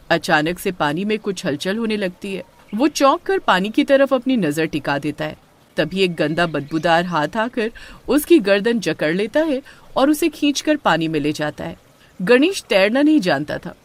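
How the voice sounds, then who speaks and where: Animated woman narrating horror stories. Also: fairly low pitch. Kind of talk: scripted horror story narration.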